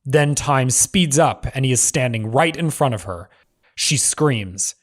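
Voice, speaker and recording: Male narrator for screenplay table read, medium register, measured delivery, clipped scene headings. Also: medium pitch, animated. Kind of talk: scripted narration of screenplay stage directions.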